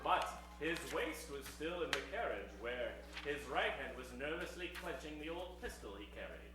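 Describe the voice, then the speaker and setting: A man's voice: a little high, very animated, normal speed, recorded from a distance. A man narrating improvised old-time radio comedy in a theatrical storytelling manner. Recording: echoey hall from afar, music behind.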